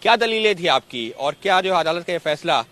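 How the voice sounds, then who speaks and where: A man's voice: a little high, animated, fairly quick, pushed and bright. A man working as a TV reporter, asking questions in a live news interview. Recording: outdoor street noise behind.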